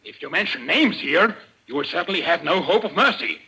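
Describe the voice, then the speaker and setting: An actor playing the coldly menacing president of a criminal society in an old-time radio suspense play, formal and authoritative. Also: male voice, on the high side, animated, fairly quick, creaky, sharp tone, heavily acted, low-quality recording. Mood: stern.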